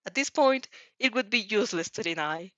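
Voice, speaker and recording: A woman's voice: mid-range voice, animated, a little fast, bright, forceful tone, dry recording, lo-fi sound. A woman narrating a second-person story aloud in an emotive, theatrical way. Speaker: narrator reading fan fiction aloud. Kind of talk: dramatic second-person story reading with reactions.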